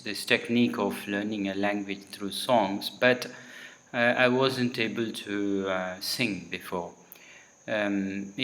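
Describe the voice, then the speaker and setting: A man whose first language is Tamil, giving a recorded solo talk in a halting way, often pausing to search for words mid-sentence. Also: lowish voice, pushed and bright, rough recording.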